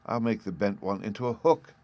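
A man voicing a sweet, gentle young girl who speaks softly and politely. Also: fairly low pitch, animated, a little fast. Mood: calm. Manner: soft and polite.

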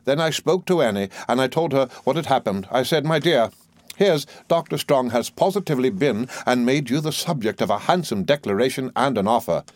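A man's voice: mid-range voice, animated, fairly quick, no room sound, voice pushed up, natural voice. A man reading aloud, voicing a fussy, garrulous older mother who is pleased with herself. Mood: self-satisfied. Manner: gushing, emphatic, self-important.

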